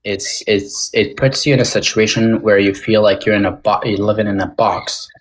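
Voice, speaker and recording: A young man with a somewhat low voice talks in a halting, casual way. He restarts often and leans on fillers as a podcast guest. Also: flat delivery, poor audio. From Tajik American, born in Moscow. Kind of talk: casual interview talk.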